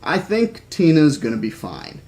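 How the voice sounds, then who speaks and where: A man's voice: fairly high pitch, sing-song, measured pace, darkish tone. A man voicing a friendly, confident American male field biologist in a storybook reading. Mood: confident, reassuring.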